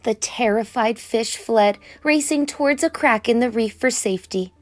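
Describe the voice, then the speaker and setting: A woman's voice: on the high side, moderate pace. Woman reading a children's story over music. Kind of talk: expressive children's story read-aloud.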